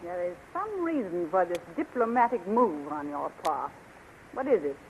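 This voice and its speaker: A woman's voice: fairly low pitch, very animated, moderate pace, gravelly. An actress playing a shrewd, regal queen who questions people theatrically in a 1930s radio serial. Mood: suspicious, shrewd. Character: imperious, shrewd young queen of a fantasy realm. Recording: muffled, noisy 1930s radio recording.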